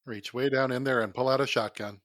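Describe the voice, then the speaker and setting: A man's voice: mid-range voice, steady tone, fairly quick, a bit bright, no echo, clean studio sound. A man playing in a tabletop game, bantering and joking in a casual way. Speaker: player in a tabletop game podcast.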